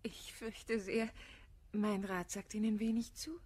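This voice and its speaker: An actress playing a frank, sober young gentlewoman in a classical verse radio comedy, measured and candid. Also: medium pitch, fairly even intonation, breathy edge. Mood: gravely candid.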